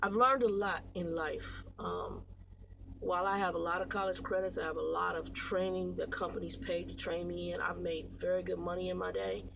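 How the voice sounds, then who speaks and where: An American woman in her late forties talks casually to her viewers, rambling through stories in a blunt, animated, slangy way. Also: on the low side, normal speed, creaky, a little hiss or hum. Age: 40s. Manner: blunt, animated storytelling with slangy, salty language. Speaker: truck driver vlogger.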